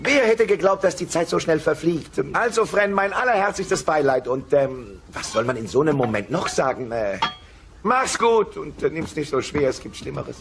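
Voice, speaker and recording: A jovial, clumsy-mannered dinosaur pal. His fairly high voice rises and drops freely over clear noise, in a comedy audio play. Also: animated, moderate pace. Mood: awkward. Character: jovial, tactless dinosaur buddy.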